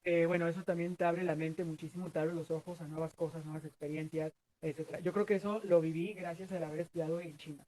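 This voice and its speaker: A man's voice: on the high side, flat delivery, fast, hardly any pauses, mellow, poor audio. A Mexican man in his thirties answering interview questions earnestly, rambling with many fillers and restarts. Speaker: guest.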